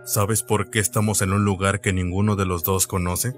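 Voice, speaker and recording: Cool, sceptical young ship's cook for anime fandub, male actor; lowish register, blunt, music underneath. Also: level tone, moderate pace, lightly acted.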